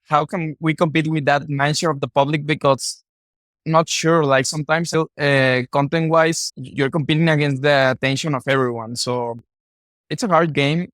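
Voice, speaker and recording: A man from Mexico with a Mexican Spanish accent, a native Spanish speaker, talking casually as a podcast guest in halting, repetitive phrases. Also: a little high, fairly even intonation, a bit bright, no room sound. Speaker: podcast guest, content creator. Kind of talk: casual interview talk.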